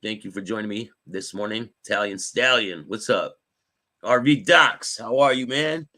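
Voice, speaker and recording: Male streamer host, raspy, somewhat low-pitched, reads news and greets viewers by name. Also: moderate pace, pushed and bright. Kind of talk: live-stream news commentary and chat with viewers.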